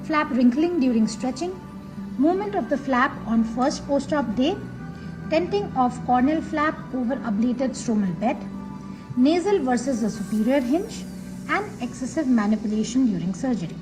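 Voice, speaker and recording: A woman with an Indian English accent reading a scripted medical video narration slowly and evenly. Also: sits high, poor audio. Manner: slow, even reading of a prepared script. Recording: voice-over with background music.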